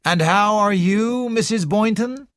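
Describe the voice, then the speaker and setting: A man voicing a neat, pleasant middle-aged man who speaks slowly and politely in an audiobook reading. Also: high voice, a bit bright, no echo, studio quality, pitched above the performer's own voice. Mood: polite, friendly.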